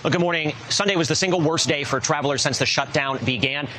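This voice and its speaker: A man's voice: on the high side, fast, bright, forceful tone. A man giving a live broadcast news report, with some location noise behind him.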